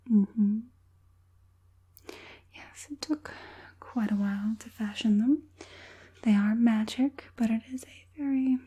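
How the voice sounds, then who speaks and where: A woman playing a softly menacing evil witch puppet master in an ASMR roleplay, whispering breathily with a coaxing, politely sinister manner. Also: on the high side, slightly rough, full character voice. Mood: sly, coaxing.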